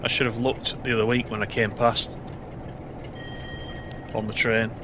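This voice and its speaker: A man casually commenting on his train game while streaming, reading out and answering chat comments, his voice a bit hoarse. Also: on the low side, level tone, measured pace, noisy background. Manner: chatty, reads out chat comments. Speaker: live streamer.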